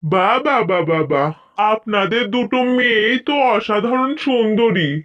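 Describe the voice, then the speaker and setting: A man voicing a busy, flattering village matchmaker in a cartoon, chatty and effusive, on a dull recording. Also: high voice, unhurried. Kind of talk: Bengali cartoon fairy-tale acting. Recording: dull, lo-fi sound.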